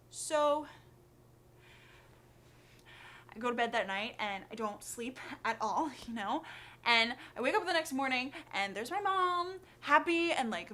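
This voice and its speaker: A woman acting a monologue as an anxious, guilt-ridden young woman who confesses in a halting, rambling, neurotic way, full of fillers. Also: sits high, a bit bright. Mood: increasingly frantic. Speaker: actor. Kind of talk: acted confessional monologue, conversational. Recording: clearly noisy background ambience.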